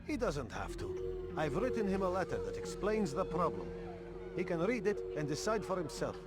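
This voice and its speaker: A man's voice: high voice, very animated, normal speed, loud music behind the voice. An actor playing a worried, exasperated townsman in a video game, complaining insistently. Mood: worried, determined. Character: worried, exasperated male townsman grumbling about local trouble.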